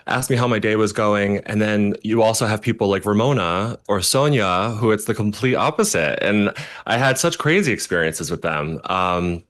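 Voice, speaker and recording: A man in his thirties with a deep, low voice tells chatty, animated stories from his makeup work. He leaps into other people's voices when he quotes them. It is a relaxed, casual guest chat. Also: moderate pace. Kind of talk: casual anecdote telling.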